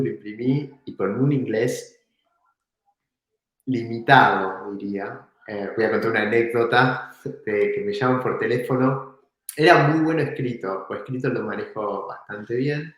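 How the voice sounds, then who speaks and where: Argentine-sounding man in a very echoey room. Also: mid-range voice. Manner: relaxed, reflective, laughs now and then. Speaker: entrepreneur guest. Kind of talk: casual storytelling in an interview.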